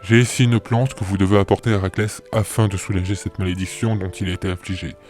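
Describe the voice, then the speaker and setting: Guy playing calm wise oracle, low voice, measured and solemn, audio drama w/ faint music. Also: close to an everyday voice. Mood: solemn, helpful. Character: calm, knowing male oracle, solemn and helpful. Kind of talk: amateur audio drama acting.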